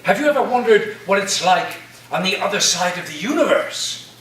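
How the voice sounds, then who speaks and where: A man playing an eccentric college professor in a staged reading, speaking in a pompous, deliberate, whimsical way with sudden outbursts. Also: high-pitched, lively intonation, fairly bright tone. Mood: eccentric, playful. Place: echoey indoor room, staged reading. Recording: roomy, distant stage recording with faint noise.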